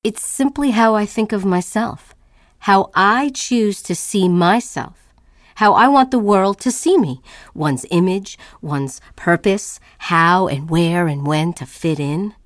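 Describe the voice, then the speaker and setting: A woman's voice: medium pitch, animated, slow, dry recording, studio quality. A woman performing a restless, anxious artist's first-person monologue in a brooding, emphatic, theatrical way. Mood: brooding, defiant. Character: restless, anxious, self-questioning woman artist, neither old nor young.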